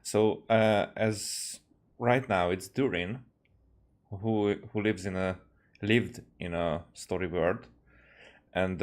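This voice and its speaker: Guy streaming a game, kinda low voice, talks slow, lots of halting pauses while he explains stuff. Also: a little mellow. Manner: halting, hesitant, searching for words. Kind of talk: casual game commentary.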